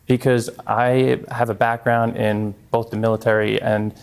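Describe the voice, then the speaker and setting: A man's voice: medium pitch, little pitch movement, measured pace, gravelly, clean tone. A man speaking as a guest in a television news interview, earnest and given to repeating his phrases. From Long Island, New York. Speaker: interview guest, congressional candidate and former air traffic controller.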